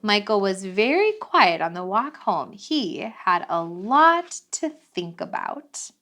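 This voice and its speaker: Lively, slow-paced woman reading a storybook. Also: medium pitch. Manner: expressive, asks child listeners questions in asides. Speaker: children's read-along host. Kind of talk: animated picture-book storytelling.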